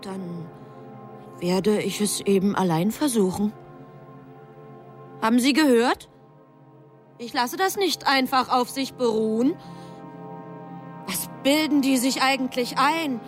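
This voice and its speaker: High-voiced, insistent angel. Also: female voice, takes it slowly, long pauses, faint music behind. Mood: defiant, indignant. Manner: earnest, insistent. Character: earnest, defiant angel, female, subordinate. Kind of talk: radio drama acting.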